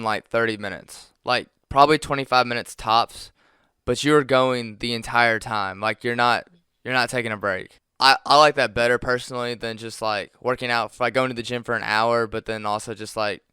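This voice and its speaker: A young man in his twenties talks loosely on a podcast, full of fillers and quick laughs. His voice is bright and very clear, recorded close and dry. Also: mid-range voice, fairly even intonation, dry recording. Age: 20s. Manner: laughs often, rambling with fillers. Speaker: podcast co-host. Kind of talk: casual chat.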